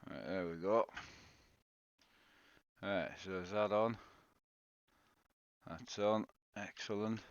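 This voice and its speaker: A man's voice: fairly low pitch, slow, long pauses, no room sound, muffled recording. A man with a cold, sounding tired, chatting casually to viewers on a live game stream and greeting them by name. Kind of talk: live-stream gameplay chat. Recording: loud background noise.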